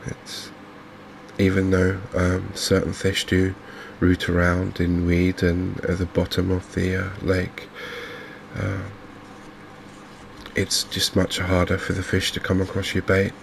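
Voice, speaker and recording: Deep quiet english guy doing asmr game commentary, super monotone, gentle, bit of hiss n game ambience behind. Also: vocal fry. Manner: gentle, unhurried, hushed. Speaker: gaming video host. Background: fishing game ambience.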